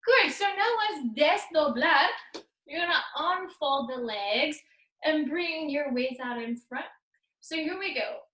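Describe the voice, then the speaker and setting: A woman's voice: high voice, animated, slow pace, fairly bright tone. Brief: female fitness instructor, playful cueing, recorded far off in an echoey room. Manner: upbeat, playful cueing mixed with Spanish words. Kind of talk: guided workout cues.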